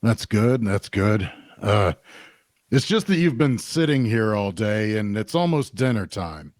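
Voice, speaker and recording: An actor playing a burly pub keeper in an audio drama, halting and polite but unimpressed. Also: male voice, lowish voice, animated, darkish tone, no room sound, studio quality. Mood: awkward, nudging. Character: burly, no-nonsense pub keeper, a man, mildly impatient.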